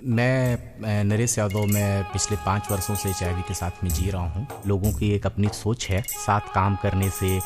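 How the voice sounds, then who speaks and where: A man's voice: on the low side, fairly even intonation, moderate pace, few pauses, over music. A man with an Indian accent giving a scripted personal testimonial for a public service message.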